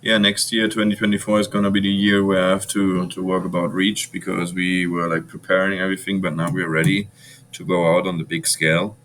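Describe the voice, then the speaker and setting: A man's voice: low voice, level tone, brisk, a bit bright, a little hiss or hum. A man from Germany with a German accent touched by Venezuelan Spanish, talking bluntly and animatedly as a podcast guest, swearing freely. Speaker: podcast guest, bar owner. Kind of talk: casual interview chat.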